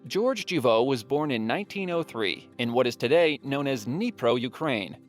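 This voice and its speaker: A man's voice: mid-range voice, lively intonation, moderate pace, faint music behind. A man reading scripted documentary narration in a playful, wry manner, with joking asides.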